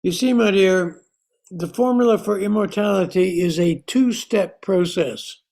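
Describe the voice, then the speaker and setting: A man playing a calm, cunning doctor in an old-time radio thriller, measured and composed. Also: sits high. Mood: satisfied. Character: calm, cunning doctor uncle, a scientist outwitting gangsters.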